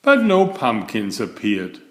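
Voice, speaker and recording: Slow, lilting male storyteller in echoey room. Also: lowish voice, wildly swooping pitch. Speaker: storyteller, children's author and channel host. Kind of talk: read-aloud children's bedtime story narration.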